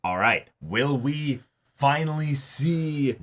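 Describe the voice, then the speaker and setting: A man's voice: mid-range voice, expressive, slow pace, fairly bright tone. A man co-hosting an anime reaction show, talking casually and sometimes reading viewer comments aloud.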